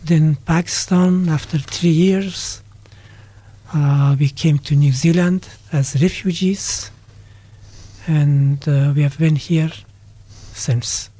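Older afghan-born guy, kinda high but mellow voice, pauses a lot, dry close sound. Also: steady tone, no echo. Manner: thoughtful, with frequent hesitations and restarts. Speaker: guest, retired politics lecturer. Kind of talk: radio interview answers.

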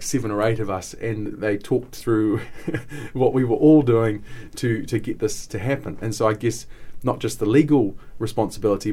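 A man's voice: lowish voice, mellow. A man on a radio panel discussing board work, speaking thoughtfully and often restarting his phrases. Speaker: panel guest, board member.

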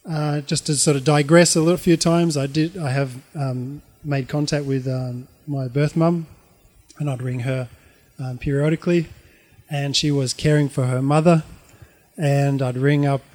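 A middle-aged man with an Australian accent tells his life story informally, in a halting, reflective way. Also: fairly high pitch, fairly even intonation, darkish tone.

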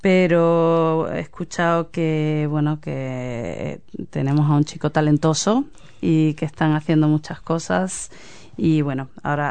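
A woman hosts a radio interview, asking questions in a chatty, curious way. Her voice sits notably low for a woman. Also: darkish tone, clear, resonant tone. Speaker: radio host.

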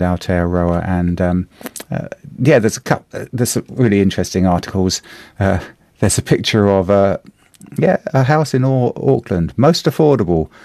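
A middle-aged man with a New Zealand accent, a slightly hoarse radio host chatting through the day's newspaper, halting with many fillers and restarts. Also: fairly low pitch, dark tone, dry recording. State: a bit hoarse. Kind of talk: casual radio chat reviewing the newspaper.

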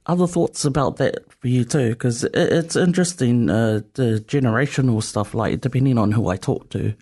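A man hosting a community radio chat. He is thoughtful and easygoing and pauses often. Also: mid-range voice, darkish tone, slightly rough. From New Zealand. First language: Samoan. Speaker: radio host.

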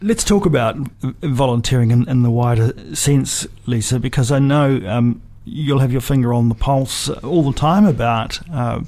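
A man hosting a conversational interview, phrasing his questions in a measured, reflective way. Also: mid-range voice, warm tone. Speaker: radio show host.

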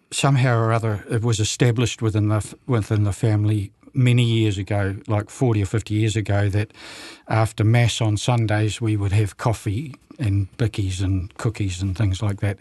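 A man's voice: fairly low pitch, fairly even intonation, moderate pace, darkish tone, no echo. A man around seventy from New Zealand, a radio guest talking casually with many fillers and restarts.

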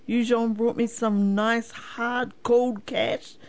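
A woman's voice: a little high, level tone, slow. A New woman co-hosting a radio show, chatting in a friendly, chuckling way. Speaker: radio co-host.

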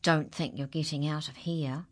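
A woman voicing a grumpy farmer who shouts at his animals, bossy and loud in a storybook reading. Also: low voice, fairly even intonation, normal speed. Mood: stern.

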